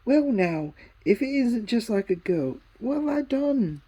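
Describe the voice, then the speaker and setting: A fretful young English boy from a children's story, high and breathless with worry, voiced by a woman reading aloud. He pleads and protests, and the tone is soft and a little husky. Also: very animated, unhurried, exaggerated voice. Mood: indignant.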